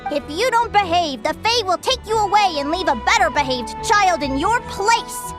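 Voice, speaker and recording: A woman's voice: very high voice, lively intonation, normal speed. A woman voicing a tiny, childlike, curious and easily astonished game companion. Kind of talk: game character dialogue. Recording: music behind the voice.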